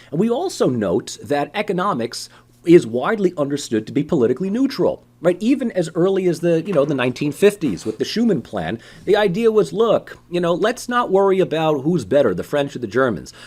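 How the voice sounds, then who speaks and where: A male lecturer talks through politics in a loose, conversational style. His pitch swings widely as he poses rhetorical questions and tosses off quick imitations of everyday people, and he keeps coming back to "you know" and "um". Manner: animated, acts out voices of ordinary people. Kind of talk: recorded university lecture.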